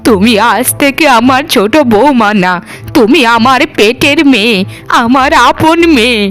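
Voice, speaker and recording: A woman's voice: a little high, measured pace, fairly bright tone, soft background music. A woman voicing a scheming, melodramatic older mother-in-law in a cartoon. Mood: submissive. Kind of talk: cartoon voice acting.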